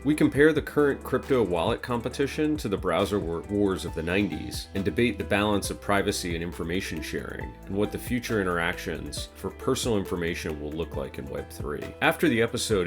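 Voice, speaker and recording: A man with a fairly low voice hosts a podcast, first reading a scripted intro and then interviewing his guest. He speaks in a measured, interested way. Faint guitar music plays under his voice. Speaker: podcast host.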